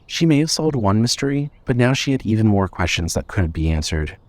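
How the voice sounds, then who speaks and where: Lower-pitched man narrating a story. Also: sing-song, a little fast, a little mellow, no room sound.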